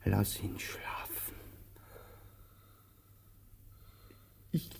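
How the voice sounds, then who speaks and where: A man playing a weary, anxious, pensive tramp in a radio play, speaking with halting, brooding pauses. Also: in a whisper, lightly acted. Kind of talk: German radio play acting.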